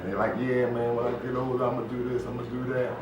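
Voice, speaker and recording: A man's voice: medium pitch, flat delivery, quick pace, vocal fry. A man giving a casual interview as a guest, rambling along in a conversational way and often saying "you know.". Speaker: interview guest, stage play writer and producer. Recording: very echoey, distant, dull, noisy room.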